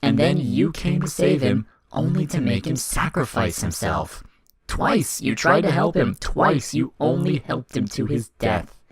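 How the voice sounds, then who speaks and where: A man voicing a cold, mocking, demanding child of darkness in an audio story, speaking in formal, taunting, deliberate phrases. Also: medium pitch, big pitch swings, over a noisy crowd, voice pushed up. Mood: mocking, cruel. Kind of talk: dramatic audio fiction character reading.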